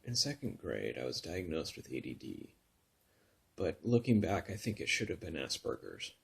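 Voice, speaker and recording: A man in his thirties from Northern California who tells his own story in a soft, halting way, full of pauses and restarts, sometimes close to a whisper. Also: a bass voice, sing-song, gravelly, breathy edge. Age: 30s. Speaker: vlogger telling his story. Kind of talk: personal confessional vlog.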